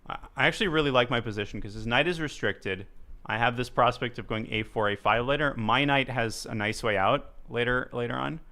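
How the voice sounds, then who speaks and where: A young man streaming a live chess game, commentating as he plays with quick thinking aloud and jokey asides. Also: mid-range voice, bright, forceful tone. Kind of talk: live commentary while playing.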